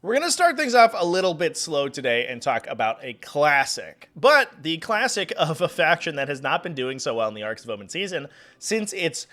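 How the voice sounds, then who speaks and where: Young guy hosting a gaming channel, kinda hoarse from a cold, chatty, pitch sits a bit high, faint music under it. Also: big pitch swings, moderate pace, pushed and bright. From New England, US. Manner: upbeat and chatty. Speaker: host. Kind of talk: tabletop game tournament commentary.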